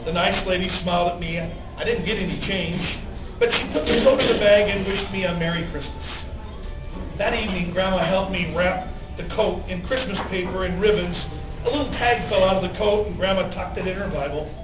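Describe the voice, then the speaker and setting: A man's voice: high voice, a little fast. A man telling a Christmas story as Santa in a dramatic read-aloud style. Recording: roomy, distant mic, music underneath. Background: music.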